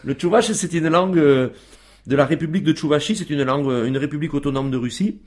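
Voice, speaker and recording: A man's voice: a little high, fast, darkish tone. A man as a guest on a radio show, talking casually with many fillers and restarts.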